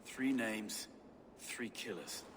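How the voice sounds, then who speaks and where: An actor playing an earnest, troubled city official in a video game, loyal and slightly hesitant in delivery. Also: male voice, fairly low pitch, slow, a little hiss or hum. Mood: worried, thoughtful. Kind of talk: dramatic video game acting.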